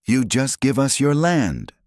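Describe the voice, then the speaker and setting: A man voicing a weary, guarded young female outlaw and mother, speaking softly. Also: mid-range voice, big pitch swings, measured pace, no echo, studio quality, pitched above the performer's own voice. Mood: quiet.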